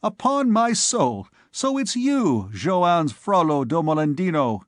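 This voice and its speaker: A man's voice: sits high, animated, slow, no echo, studio quality, voice pushed up. A man voicing a merry young scholar, teasing and bantering theatrically, in a storybook reading.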